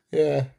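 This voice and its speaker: A middle-aged man hosting a casual live chat, rambling through jokey stories and chuckling at his own tales. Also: mellow. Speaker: livestream host. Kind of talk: casual live chat reading viewer comments.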